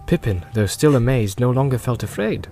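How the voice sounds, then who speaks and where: A man's voice: mid-range voice, lively intonation, moderate pace, dark tone. A man reading scripted literary narration as the narrator of a fantasy audiobook. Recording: music bed behind the voice.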